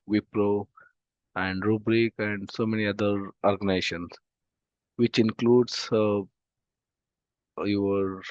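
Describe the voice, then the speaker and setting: Slow, halting man with a sore throat. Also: lowish voice, no room sound. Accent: Indian English. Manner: slow, halting, many "uh" fillers. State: throat infection, voice not clear. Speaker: database course instructor. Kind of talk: online demo class lecture. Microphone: computer mic on a video call. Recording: dull, dry video-call audio.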